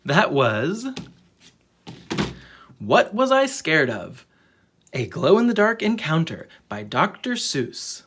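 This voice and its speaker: A children's story reader with a husky, slightly rough voice. The delivery is dramatic and highly animated, swinging up and down in pitch as a storybook is read aloud. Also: takes it slowly, long pauses.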